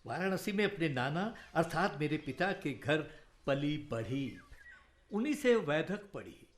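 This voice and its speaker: An actor playing a proud, courteous senior royal physician in a Hindi historical radio drama, speaking formally and deliberately. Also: male voice, a little high, big pitch swings. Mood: reassuring, earnest.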